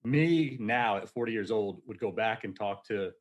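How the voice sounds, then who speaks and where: Upbeat forty-year-old guest, laughing often. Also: male voice, lowish voice, moderate pace. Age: 40s. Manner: energetic, laughs easily, casual. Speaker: podcast guest. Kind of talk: casual storytelling interview.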